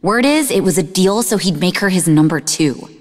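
An actress playing a sarcastic, defensive young woman in a video game, speaking in an expressive, conversational way. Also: fairly low pitch, moderate pace, big-room sound. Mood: bitter, angry. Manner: snarky, conversational, emotionally expressive. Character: sarcastic, defensive young woman, idealistic martial-arts student and researcher. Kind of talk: video game character dialogue.

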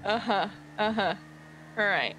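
Sarcastic woman with a mid-pitched voice. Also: measured pace, fairly bright tone, slight background noise, rough recording. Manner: sarcastic, blunt, crude. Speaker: role-play gamer. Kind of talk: casual in-character phone banter in an online role-play game.

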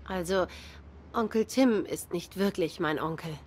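A woman acts out a young cat woman in a noir game, grieving and guarded. Her voice is on the low side, subdued, with a bitter, sardonic edge. There is some noticeable ambient noise behind her. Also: unhurried, darkish tone, close to an everyday voice. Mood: reflective. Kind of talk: noir game dialogue acting.

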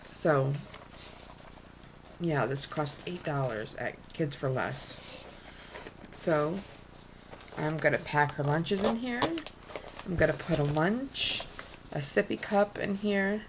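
Woman, 30s, casual home vlog, slow rambling talk, faint hiss, webcam in a small room. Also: deep voice. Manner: chatty, rambling, lots of ums and restarts. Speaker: vlogger showing purchases. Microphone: webcam at desk.

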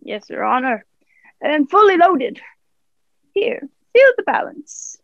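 Sly pirate sea cook, played by a woman: high, swooping, salty dialect, muffled dry radio-play recording. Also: big pitch swings, takes it slowly, creaky, a bit bright, no echo, exaggerated voice. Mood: hearty, sly. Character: sly, hearty peg-legged pirate ship's cook. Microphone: own device, remote recording.

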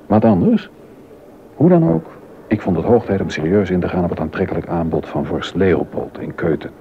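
A man's voice: low-pitched, expressive, normal speed. An actor playing a proud, aggrieved German baroque composer and court organist in a Dutch docudrama, indignant and wry as he tells his grievances. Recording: dull sound with background noise.

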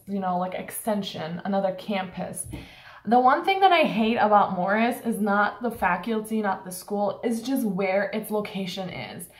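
A woman's voice: mid-range voice, roomy sound. A young woman from Turkey, a college student vlogging, talks frankly and full of fillers while complaining. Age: young adult. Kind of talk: casual vlog rant. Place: small room indoors.

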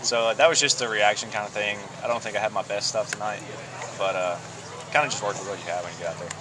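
A young man, a college baseball pitcher, answering postgame questions outdoors at night in an easygoing way, chuckling now and then. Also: fairly even intonation, fast talker. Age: young adult. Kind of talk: postgame interview. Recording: outdoor crowd noise behind.

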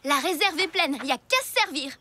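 A sensible young girl from a cartoon, matter-of-fact with a teasing edge. Her voice is very high and bright. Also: expressive, fairly quick.

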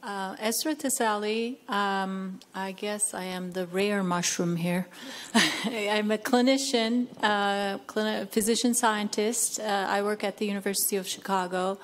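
A woman's voice: mid-range voice, fairly even intonation, measured pace, a little hiss or hum. A woman physician scientist introducing herself cheerfully on a conference panel into a table microphone. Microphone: table mic on stand.

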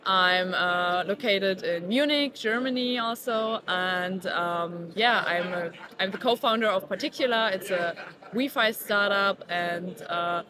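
Halting woman guest amid conference chatter. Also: medium pitch. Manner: halting, many "um" and restarts, laughs easily. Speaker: startup co-founder, guest. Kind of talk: casual interview answers. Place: busy indoor conference venue. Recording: conference crowd chatter behind.

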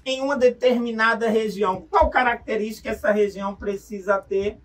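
A man's voice: high voice, fairly even intonation, brisk, fairly bright tone, recorded from a distance. A man hosting a radio interview, asking upbeat leading questions in a studio. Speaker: radio show host.

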